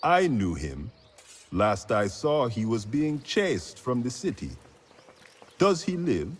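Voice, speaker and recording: An actor playing a gruff, commanding, mocking rebel fighter in a video game cutscene. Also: male voice, mid-range voice, big pitch swings, takes it slowly, full character voice. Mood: brusque, mocking.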